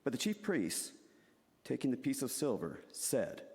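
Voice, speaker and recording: Animated man reading scripture, long reverb. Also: medium pitch, unhurried. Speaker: scripture narrator in church reader's theater. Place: large church sanctuary. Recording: reverberant hall.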